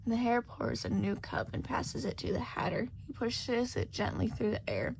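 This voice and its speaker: Female audiobook narrator for fan fiction: highish, lively intonation, creaky edges. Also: moderate pace, slight background noise, poor audio. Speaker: podfic narrator.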